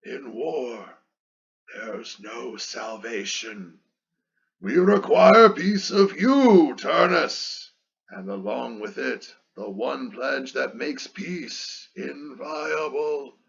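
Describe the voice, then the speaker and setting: A man playing a bitter elder statesman who pleads and taunts in declamatory, raspy epic verse, on a muffled recording. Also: medium pitch, lively intonation, a bit bright, full character voice. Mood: grave pleading. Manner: rhetorical, declamatory, slow and emphatic. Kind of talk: dramatic reading of epic verse.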